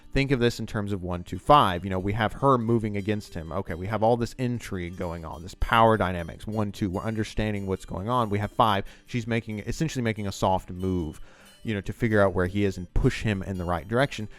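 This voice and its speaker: A man's voice: lowish voice, fairly quick, a bit bright. A man giving enthusiastic, rambling commentary on a TV scene as the host of a video essay.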